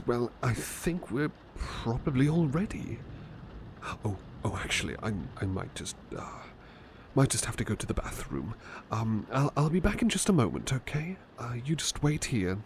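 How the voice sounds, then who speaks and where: Man playing a soft-spoken, slow, devoted butler. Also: medium pitch, noisy background. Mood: flustered, apologetic. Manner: soft-spoken, often slipping into whispers, polite, hesitant. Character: devoted, gentle, flustered male butler attending his beloved. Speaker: ASMR roleplay performer. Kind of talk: intimate personal-attention ASMR roleplay.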